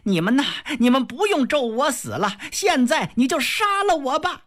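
Woman storyteller as a shrill, fierce young noblewoman, screaming high, jealous temper, lively pitch. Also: moderate pace. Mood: wailing.

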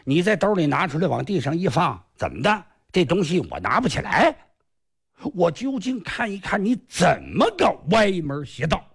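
A man's voice: high-pitched, big pitch swings, moderate pace, gravelly, breathy edge, full character voice. A man voicing a proud, stubborn young male swordsman who speaks defiantly.

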